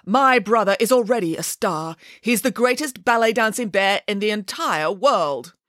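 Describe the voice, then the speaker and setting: A woman's voice: mid-range voice, expressive, normal speed, fairly bright tone, no echo, clean studio sound, pitched above the performer's own voice, natural voice. A woman voicing a bossy, proud, theatrical female pig nanny in a children's story, with an imperious, emphatic manner. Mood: dismissive.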